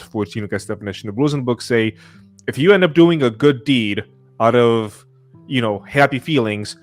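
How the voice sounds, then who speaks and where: Mid-pitched man posing questions over soft music. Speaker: podcast panelist posing questions. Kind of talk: casual discussion, posing hypotheticals.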